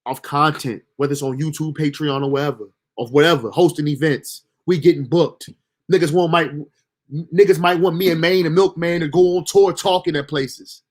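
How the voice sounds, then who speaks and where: Young male streamer, mid-range voice; casual, profane, self-motivating monologue. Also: mid-range voice. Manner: loose, slangy, motivational self-talk with profanity. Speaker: livestream host.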